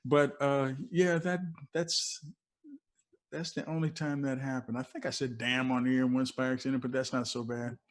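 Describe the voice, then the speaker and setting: A man's voice: mid-range voice, little pitch movement, moderate pace. Older American man, veteran radio DJ. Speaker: veteran radio DJ, interview guest. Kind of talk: casual conversational storytelling.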